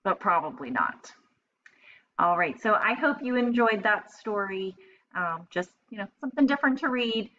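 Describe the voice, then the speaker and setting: A woman reading aloud as a teacher, narrating a storybook and pausing to explain in simple terms. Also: medium pitch, expressive, sharp tone, highs cut off.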